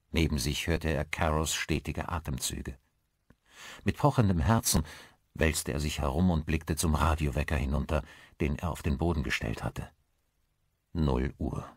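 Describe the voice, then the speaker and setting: A male narrator with a very deep voice reads scripted horror fiction as an audiobook. The sound is dry, with hardly any room to it. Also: breathy edge, dry recording.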